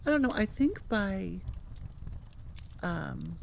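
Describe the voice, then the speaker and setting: A woman's voice: medium pitch, big pitch swings, slow pace, vocal fry. Woman chatting casually over background noise. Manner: self-deprecating, wandering and tangential. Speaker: audio roleplay creator and channel host. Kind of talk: casual rambling outro chat. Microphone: sitting at a computer microphone, recording into audio software.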